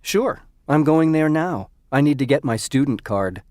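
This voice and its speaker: A man playing a lively young male student. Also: fairly high pitch, measured pace, dry recording, studio quality. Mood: friendly. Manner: lively, clearly enunciated. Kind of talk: scripted textbook dialogue. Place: recording studio.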